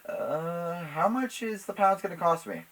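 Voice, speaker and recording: A man's voice: high-pitched, unhurried, a bit bright, pitched above the performer's own voice, rough recording. A man playing a casual, unsuspecting police officer in a drama script, politely asking questions.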